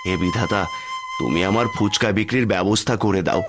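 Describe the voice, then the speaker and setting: A man's voice: fairly low pitch, wildly swooping pitch, brisk, over music. An actor playing a poor, patient village street seller and father in a cartoon, plaintive and earnest. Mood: weary, pleading. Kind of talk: cartoon dubbing.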